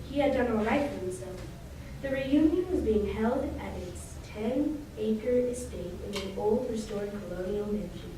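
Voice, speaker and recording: A woman's voice: a little high, dark tone, lightly acted. A female performer playing a solemn narrator of a detective mystery in a scripted old-time radio show. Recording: very echoey hall, distant mic, faint noise.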